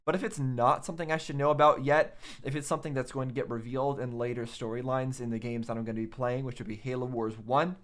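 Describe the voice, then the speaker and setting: A man's voice: medium pitch, little pitch movement, quick pace, hardly any pauses. A man gives casual reaction commentary in an ordinary mid-range voice. He rambles as he goes, working through his thoughts aloud like a video host talking to viewers.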